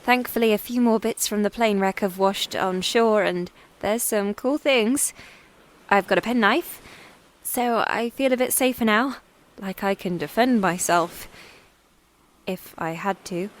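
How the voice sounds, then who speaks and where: A woman playing a frightened, weary young plane-crash survivor in an audio drama monologue, confiding in a halting, plain-spoken, wryly understated way. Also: mid-range voice, lightly acted. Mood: weary, practical. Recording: clearly noisy background.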